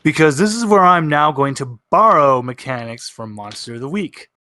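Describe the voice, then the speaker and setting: A man's voice: fairly high pitch, animated, moderate pace, sharp tone. A man narrating as game master in a tabletop roleplaying game, improvising with emphatic repeats.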